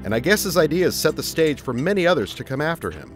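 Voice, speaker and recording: A man's voice: fairly high pitch, fast, over music. A middle-aged man acting an upbeat father who earnestly explains things in a family audio drama. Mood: earnest, explanatory. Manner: explanatory, lively.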